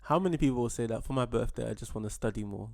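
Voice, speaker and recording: A man's voice: mid-range voice, very fast, creaky, darkish tone. A man co-hosting a podcast with his wife, talking in an easygoing way and often repeating words as he thinks. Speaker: podcast co-host. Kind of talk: casual chat.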